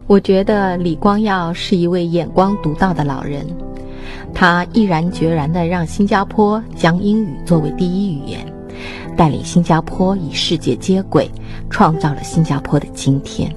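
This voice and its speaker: Low-voiced woman over prominent music. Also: normal speed. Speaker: contributor giving an opinion. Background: prominent instrumental music.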